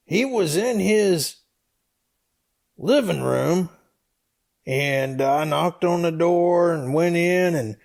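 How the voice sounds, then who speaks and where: Comedy skit: man playing a dim, folksy, hesitant rambler, heavy gravel, slightly raised pitch, unhurried delivery. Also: a little mellow, exaggerated voice. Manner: hesitant, halting, meandering. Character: rambling slow-witted folksy comic storyteller El Dub. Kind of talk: comedy skit read as dialogue.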